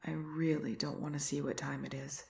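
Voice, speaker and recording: A woman playing an affectionate, sleepy girlfriend in an ASMR roleplay, whispering and murmuring softly with a breathy voice. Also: low voice, little pitch movement, normal speed, a little hiss or hum. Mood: drowsy.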